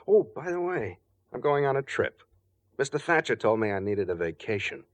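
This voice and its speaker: An actor playing a cocky, carefree crook with breezy, slangy delivery in a 1940s radio drama. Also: male voice, mid-range voice, very animated, moderate pace, dry recording, lightly acted. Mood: cocky, elated. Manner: breezy, slangy, self-assured.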